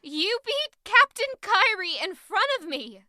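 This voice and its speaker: Angry heartbroken young woman, distraught, sharp high voice, close dry sound, audio drama. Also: big pitch swings, slow, no echo, heavily acted. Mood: furious, distraught. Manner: accusing, impassioned. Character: anguished, furious young woman confronting a royal. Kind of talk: emotional audio drama acting.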